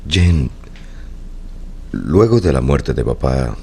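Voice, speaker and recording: A very deep, slow, grave brother. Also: male voice, big pitch swings, vocal fry, a little mellow, heavily acted. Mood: solicitous, grave. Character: solicitous, grave brother hosting his sister. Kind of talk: Spanish-language radio drama acting. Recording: faint background noise, low rumble.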